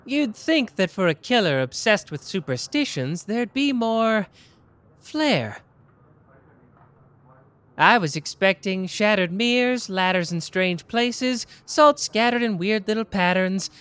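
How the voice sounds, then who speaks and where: Casting a performer for a boastful, playful young male sleuth, very animated delivery, soft ASMR roleplay. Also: mid-range voice, slow, clean tone. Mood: smug. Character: smug young male genius detective, candy-loving.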